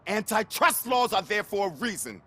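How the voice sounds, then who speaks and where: Loud, high, pompous gang thug. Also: male voice, sing-song, normal speed, exaggerated voice. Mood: indignant, aggressive. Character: indignant male gang thug lecturing on market economics.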